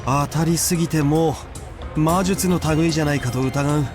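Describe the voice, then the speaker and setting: A male actor as an efficient, irritable aide in a Japanese game. His fairly high voice is clipped and businesslike, deadpan even when grumbling, with music underneath. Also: normal speed, natural voice. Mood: pondering. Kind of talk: Japanese video game voice acting.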